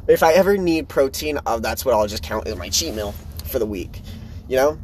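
A young man in his early twenties talks through his own podcast in loose, run-on sentences. His voice sits at an ordinary middle pitch, and his delivery is frank and unpolished, jumping between thoughts. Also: a little fast, a little hiss or hum. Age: 20s. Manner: rambling, candid, animated swings in pitch. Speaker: podcast host. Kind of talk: casual solo podcast monologue.

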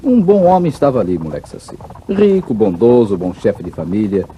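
An actor playing a noble, upright cowboy hero with a Brazilian accent, speaking in an earnest, formal way in an old radio serial. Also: male voice, fairly low pitch, sing-song, a little fast, mellow. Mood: calm, assured. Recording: muffled vintage radio recording with background noise.

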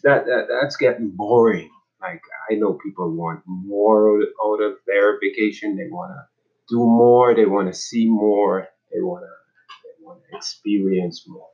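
Bahamian man, 40s, nonprofit director; halting delivery, deep voice with a dull lo-fi sound. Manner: halting, restarts and repeats words. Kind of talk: casual personal introduction.

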